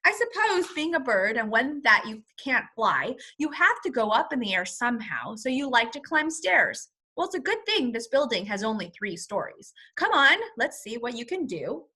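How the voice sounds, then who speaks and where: A mild, good-natured storybook husband, read by a woman, polite and musing. The voice is fairly high, bright and lively in pitch. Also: moderate pace, few pauses, husky, full character voice.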